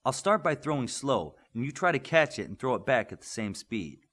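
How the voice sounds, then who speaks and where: A man's voice: mid-range voice, sing-song, normal speed, a bit bright, voice pushed up. Man voicing an inquisitive young superhero team leader. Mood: encouraging. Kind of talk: audiobook character voice.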